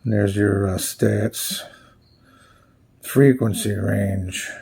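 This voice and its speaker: A man giving a casual, unscripted product walkthrough as a reviewer, plainspoken and halting as he thinks aloud. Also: fairly low pitch, fairly even intonation, warm tone, slight background noise. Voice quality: gravelly, husky. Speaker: radio reviewer presenting his channel.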